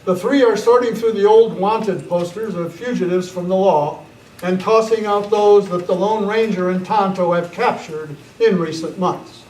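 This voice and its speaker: A man's voice: sits high, normal speed, a little mellow. A man announcing in a grand, declamatory old-time radio narration style. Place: indoor club meeting. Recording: echoey, noisy meeting room, distant mic.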